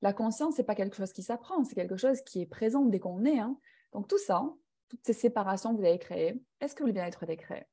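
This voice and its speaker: Woman, growth-class facilitator; mellow voice, thoughtful and hesitant delivery. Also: medium pitch, expressive, brisk, husky. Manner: reflective, hesitant with many fillers. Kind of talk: live online talk to participants.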